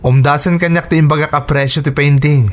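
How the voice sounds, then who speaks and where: A man's voice: a little high, fairly even intonation, fairly quick, close to an everyday voice. A man acting an earnest, humble young Ilocano painter. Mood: modest, grateful. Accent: Ilocano Filipino. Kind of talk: radio drama acting.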